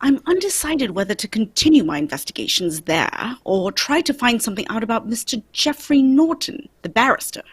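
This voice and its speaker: Woman playing a high-voiced, confident male detective. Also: very animated, brisk, hardly any pauses, husky. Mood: urgent. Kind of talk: old-time radio drama script read live.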